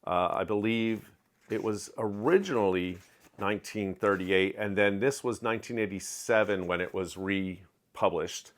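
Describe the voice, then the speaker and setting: A middle-aged man giving a candid, opinionated book review in a home study, talking close to the camera with lively hand gestures. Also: fairly low pitch, a little slow. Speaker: book vlogger. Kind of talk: casual reading wrap-up review. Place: home study with shelves of collectibles. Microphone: webcam framing, close to camera.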